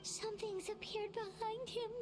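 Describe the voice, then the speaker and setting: A woman voicing a tiny, excitable, childlike fairy companion in a game, squeaky and exclamatory. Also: normal speed, over music, low-quality recording. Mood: startled. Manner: exclamatory, third-person chatter.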